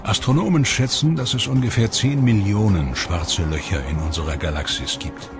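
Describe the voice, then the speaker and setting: A man reading scripted documentary narration in a measured, dramatic way. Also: lowish voice. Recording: voice-over with background music.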